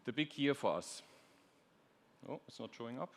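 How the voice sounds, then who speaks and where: A man's voice: medium pitch, expressive, normal speed, gravelly, slight background noise. A man giving a conference presentation as an official, hesitating and repeating words mid-sentence. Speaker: European Commission official, panelist. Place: large conference stage. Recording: echoey hall.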